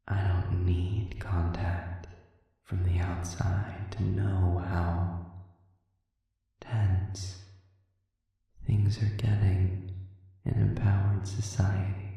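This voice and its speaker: Someone voicing a dark, slow, silky imprisoned demon. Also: low voice, flat delivery, exaggerated voice. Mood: ominous, taunting. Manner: formal, measured, sardonic, often dropping to breathy whisper. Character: sadistic imprisoned male demon, silky, taunting, manipulative. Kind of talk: slow audio roleplay monologue addressed to listener. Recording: cavernous echoing reverb, distant and muffled.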